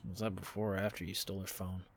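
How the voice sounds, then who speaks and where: A man playing a blunt, bewildered and wary young man in an audio drama, with halting, incredulous delivery. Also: low voice, flat delivery, gravelly, no echo. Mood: irritated, wary. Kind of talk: audio fiction podcast acting.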